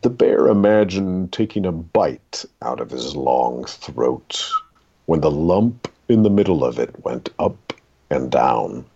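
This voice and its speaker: A man with a gravelly, husky voice reading a literary short story aloud in a dramatic, deliberate way. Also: extremely low pitch, lively intonation, warm tone, highs cut off.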